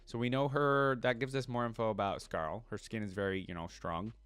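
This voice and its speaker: A man's voice: lowish voice, steady tone, brisk, fairly bright tone, clear, resonant tone, dry recording. A man giving casual reaction commentary as a video host, thinking aloud in an informal way.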